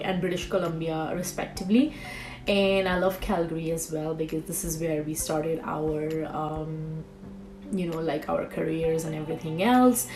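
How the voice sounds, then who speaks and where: A woman in her thirties from Bengal, whose first language is Bengali, talks through her vlog questions in a relaxed, chatty way. She pauses often on fillers and restarts her sentences. Her voice sits a little low, with faint music playing behind her. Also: poor audio. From India, Bengal. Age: 30s. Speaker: YouTuber. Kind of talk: casual tag video vlog.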